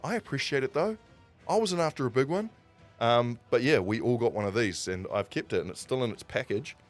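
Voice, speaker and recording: A man with a New Zealand accent telling stories casually as a livestream host, in an animated raconteur's way with dramatic pauses. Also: medium pitch. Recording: music playing behind the voice. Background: background music.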